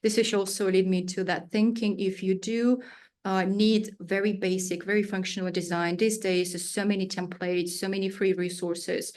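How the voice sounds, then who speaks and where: A woman's voice: mid-range voice, little pitch movement, normal speed, a little mellow. A woman in her thirties, a native Russian speaker, answering interview questions with searching phrasing, frequent fillers and non-native grammar. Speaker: studio founder, guest.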